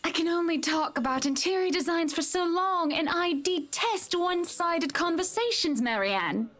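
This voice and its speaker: High-voiced, haughty rich young wife. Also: female voice, expressive, normal speed, fairly bright tone. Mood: indignant. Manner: chatty, haughty, pointed. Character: wealthy, teasing, sharp-tongued young wife. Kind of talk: visual novel voice acting.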